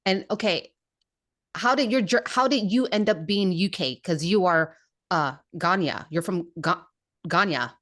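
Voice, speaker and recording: A young woman hosting a podcast, bubbly and eager, chatting casually with quick bursts. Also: lowish voice, a bit bright. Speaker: podcast host.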